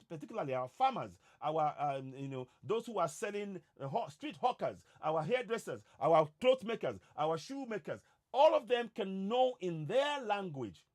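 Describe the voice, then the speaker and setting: A man from Nigeria whose first language is Yoruba, giving an impassioned monologue to his viewers and stressing points by repeating words. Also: high-pitched. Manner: emphatic, repeats words for emphasis. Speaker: online activist host.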